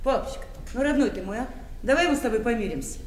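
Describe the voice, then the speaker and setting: A woman's voice: on the high side, animated, quick pace, close to an everyday voice. A woman acting a harried, bossy yet affectionate middle-aged daughter in a Soviet radio play. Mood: coaxing, apologetic. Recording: roomy, faintly noisy radio-play recording.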